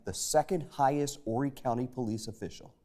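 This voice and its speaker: A man with a mid-pitched, soft and mellow tone anchors a television newscast. He reads his scripted copy as a studio presenter. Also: fairly quick. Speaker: TV news anchor.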